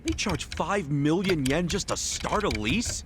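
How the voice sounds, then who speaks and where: Casting: scrappy video game hero, blunt, lively mid-range voice, over noisy street ambience. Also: male voice, a little high, unhurried. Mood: incredulous. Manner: informal, blunt, expressive. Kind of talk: English game dub acting.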